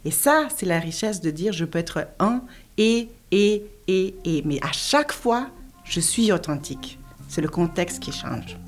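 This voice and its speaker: A woman's voice: lowish voice, expressive, measured pace, soft background music. A woman from Argentina, an intercultural coach, answering interview questions in a reflective way, often repeating words as she searches for them.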